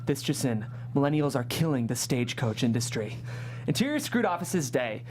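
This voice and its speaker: Low voiced woman reading stage directions for a comedy script, slight hum in background. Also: very animated, normal speed, darkish tone. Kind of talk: scripted narration at a comedy table read.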